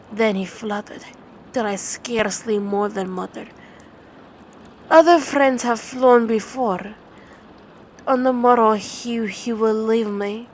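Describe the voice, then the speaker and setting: A woman reading dramatic poetry as a sleep-aid ASMR narration, in a measured, theatrical way with halting stumbles and repeated words. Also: mid-range voice, vocal fry, a little hiss or hum.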